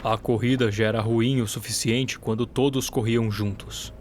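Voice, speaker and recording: A man's voice: mid-range voice, fairly even intonation, moderate pace, a little mellow, slight background noise. A man with a Brazilian accent giving dramatic first-person narration for an audio drama. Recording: music bed under the voice. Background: music.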